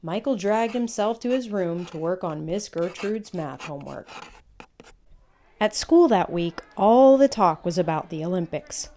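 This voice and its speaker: A woman reading a children's picture book aloud as a storyteller, in an expressive, measured way. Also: on the low side, slight background noise.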